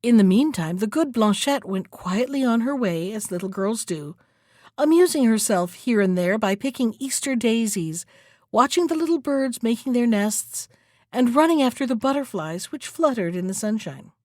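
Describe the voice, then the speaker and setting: Animated woman storyteller with a highish voice. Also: normal speed, no room sound, clean studio sound. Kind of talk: expressive folktale narration.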